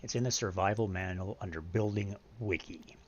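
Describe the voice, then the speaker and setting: A middle-aged man with a deep voice streaming a game, chatting casually, explaining patiently and reading menu items aloud. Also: normal speed, lo-fi sound, slight background noise. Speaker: live streamer. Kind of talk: casual gaming stream chat.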